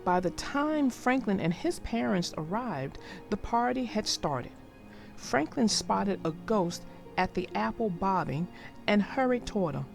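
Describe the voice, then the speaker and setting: A woman narrating a children's picture book aloud in a gentle, expressive storytelling manner. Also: mid-range voice, a little slow, vocal fry, faint music behind.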